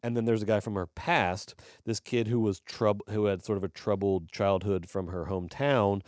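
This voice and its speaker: Rambling, profane man with a low voice. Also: fairly even intonation, moderate pace, darkish tone, no echo, studio quality. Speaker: podcast co-host. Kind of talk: casual movie review chat.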